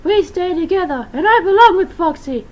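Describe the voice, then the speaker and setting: A man voicing a serious, loyal female animatronic fox in a strained, insistent falsetto for a fan story. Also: animated, normal speed, a little hiss or hum.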